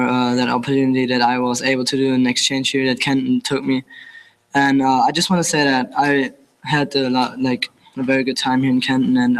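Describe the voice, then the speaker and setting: A man's voice: mid-range voice, poor audio. German teen boy, accented, filler-heavy thank-you at a meeting. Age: teens. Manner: hesitant, with many fillers. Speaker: exchange student.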